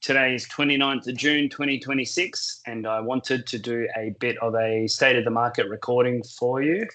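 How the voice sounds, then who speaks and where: Hoarse man giving a market update. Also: medium pitch, steady tone, pushed and bright. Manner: measured, explanatory, works through points in numbered order. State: sore throat, losing his voice. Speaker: crypto market commentator. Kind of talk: solo market update recording.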